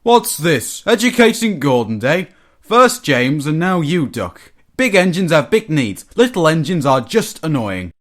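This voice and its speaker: Storybook big engine, male, proud and pompous, very animated delivery, acted by a man. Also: fairly high pitch, measured pace, voice pushed up, heavily acted. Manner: pompous, dismissive.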